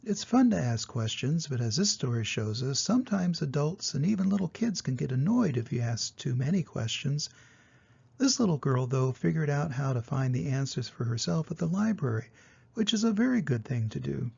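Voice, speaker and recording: An older grandfather reads a picture book to little children in a gentle, explanatory way. His voice is husky and creaky, with a soft, mellow tone. The recording sounds slightly dull and muffled. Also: mid-range voice, animated, normal speed. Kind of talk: children's storybook reading.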